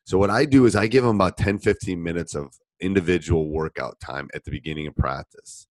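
Deep-voiced coach with a cold. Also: male voice, fairly quick, no room sound. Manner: rambling, conversational, with many fillers and restarts. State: voice deeper than normal from a cold. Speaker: basketball coach and podcast host. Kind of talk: live Q and A, casual answers to listener questions.

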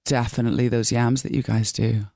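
A woman's voice: rumbling low, steady tone, a little fast, gravelly, mellow, no echo. A woman from Scotland with a British accent, chatting playfully as a guest in a radio studio, joking and laughing easily. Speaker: guest actress. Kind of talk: casual interview chat. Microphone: studio microphone.

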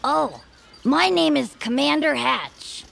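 Gravelly, adventurous inventor commander. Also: female voice, sits high, sing-song, slow, fairly bright tone, a little hiss or hum, exaggerated voice. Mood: earnest. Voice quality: very creaky, gravelly. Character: plain-spoken, adventurous inventor commander, proud, easygoing. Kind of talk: old-time radio comedy acting.

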